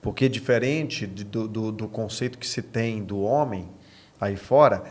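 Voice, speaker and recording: A Brazilian man with a fairly low voice talks like a pastor in an interview. He explains in a conversational but preacherly way, repeating key phrases for emphasis. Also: measured pace. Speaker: pastor, podcast guest.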